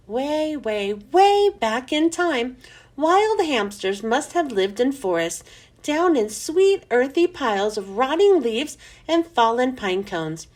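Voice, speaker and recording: High, animated woman reading a storybook. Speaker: children's book reader. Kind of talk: expressive scripted storybook narration.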